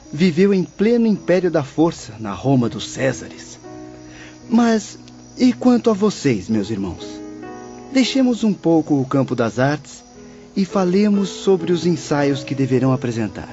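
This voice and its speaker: A man playing a gentle, earnest spiritual teacher, speaking in a solemn, formal way in an audiobook drama. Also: medium pitch, big pitch swings, a little slow. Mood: didactic. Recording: dull lo-fi audio under music.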